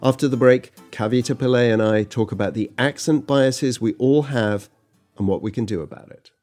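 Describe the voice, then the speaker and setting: A man hosting a podcast, narrating and chatting in a measured, conversational way. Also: medium pitch, a little mellow, soft background music. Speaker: podcast host. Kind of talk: scripted narration and chat.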